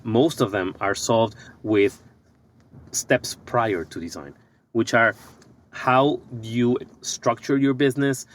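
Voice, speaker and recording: Middle-aged man from Ecuador, podcast guest, mid-pitched, reflective, halting delivery. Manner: thoughtful, pauses and restarts often. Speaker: guest, architect and business coach. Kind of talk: conversational podcast interview.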